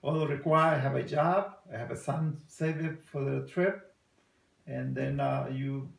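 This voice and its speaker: Salvadoran guy in his 60s, gravelly voice, hesitant english with lots of uhs, echoey room. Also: mid-range voice, steady tone. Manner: halting, many uh fillers, non-native English. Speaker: interviewee. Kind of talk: casual family interview.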